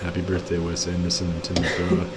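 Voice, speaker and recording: A man's voice: deep voice, completely flat delivery, brisk. A young man co-hosting a college radio show with dry, teasing humor. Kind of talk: casual joking chat. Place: small college radio studio. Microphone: close studio mic. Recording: background noise.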